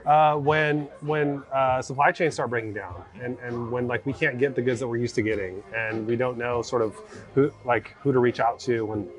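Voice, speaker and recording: A man's voice: mid-range voice, steady tone, moderate pace, rough recording. An American man chatting casually as a podcast guest, informal, often peppering his talk with like and right. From born in Egypt, grew up in America. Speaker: podcast guest, former mortgage broker. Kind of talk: casual chat.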